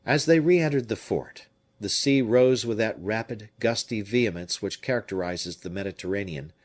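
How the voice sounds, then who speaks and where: A man narrating an audiobook with expressive storytelling. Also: on the low side, normal speed. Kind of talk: scripted narration.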